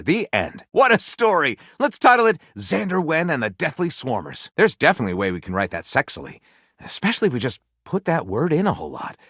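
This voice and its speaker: A man voicing a flamboyant, excitable, pompous author character for a video game, narrating in a grandiose, melodramatic storytelling style. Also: on the high side, no room sound, studio quality, heavily acted. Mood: grandiose, gleeful. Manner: grandiose, melodramatic narrator delivery with gushing exclamations. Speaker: voice actor playing a game character.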